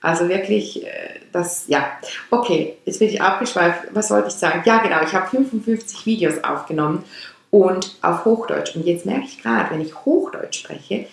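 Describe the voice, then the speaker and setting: A woman's voice: fairly low pitch, normal speed, gravelly. A woman from Switzerland whose first language is Swiss German, speaking Standard German with a Swiss accent. She explains chakras in casual talk and often wanders off the topic. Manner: rambling, with restarts and digressions. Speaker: chakra teacher and podcast host. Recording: very echoey room.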